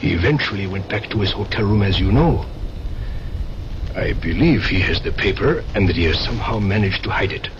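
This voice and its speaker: An actor playing a calm, scheming male spy ringleader in a 1970s radio drama, cold and measured, with a dull old broadcast sound. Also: fairly low pitch, noisy background, close to an everyday voice. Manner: cold and measured, gives orders.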